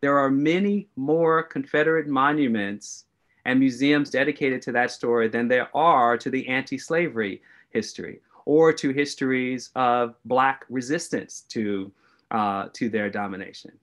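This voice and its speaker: Man, middle-aged, from the united states, deliberate, thoughtful, mid-pitched voice. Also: fairly bright tone. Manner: measured, deliberate, restarts phrases. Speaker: guest historian. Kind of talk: thoughtful interview answers.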